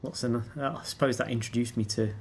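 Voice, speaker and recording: A man's voice: mid-range voice, fairly even intonation, quick pace, darkish tone, a little hiss or hum. A man chatting casually as a guest, laughing often while telling stories. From England. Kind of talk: casual chat.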